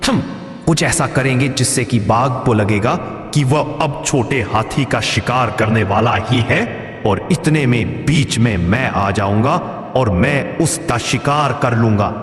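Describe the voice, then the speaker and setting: A man's voice: medium pitch, a little slow, soft background music, lightly acted. A man voicing a stern, proud, aging lion king in an animal cartoon, speaking emphatically in an admonishing, commanding way. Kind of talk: animated moral story dialogue. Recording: echoey, long reverb.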